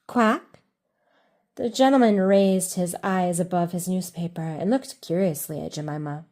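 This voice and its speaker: A woman narrates a children's storybook, reading aloud in an expressive, deliberate way. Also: on the low side.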